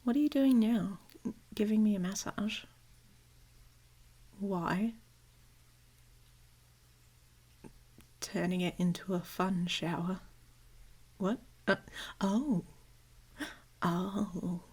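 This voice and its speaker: A woman playing a flirtatious adult werewolf woman in an intimate ASMR roleplay, murmuring slowly in a breathy, often whispered voice. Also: mid-range voice, darkish tone. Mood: surprised, curious. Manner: slow, murmuring, with hums and questioning pauses. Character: flirtatious, teasing adult she-wolf woman, a werewolf lover.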